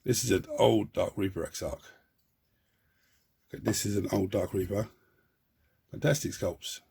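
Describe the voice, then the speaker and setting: This is a man talking through a hobby build at his desk. His voice is low and badly hoarse, rough and croaky from a lingering cold. He chats loosely, restarting and repeating words as he goes. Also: fairly even intonation, normal speed, mellow. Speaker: hobby channel host. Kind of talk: model-building tutorial talk.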